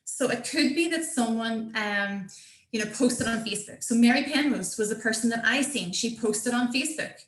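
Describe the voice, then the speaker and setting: A woman's voice: a little high, normal speed, fairly bright tone, reverberant, poor audio. An Irish woman from Donegal giving an enthusiastic, upbeat motivational training talk on a group call. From Ireland (Donegal). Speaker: marketing director giving a team training.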